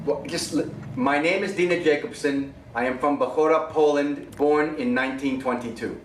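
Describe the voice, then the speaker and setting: A man briskly coaching an interviewee during a film shoot, giving directions. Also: fairly high pitch, fairly even intonation. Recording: distant, roomy, faint background noise.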